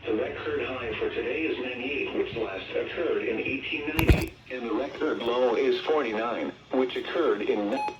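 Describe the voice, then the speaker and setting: A synthetic American male announcer recites weather data through a radio speaker, with dull, lo-fi sound and clear noise over it. The delivery is formal and impersonal, at a medium pitch. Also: normal speed. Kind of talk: scripted weather data bulletin.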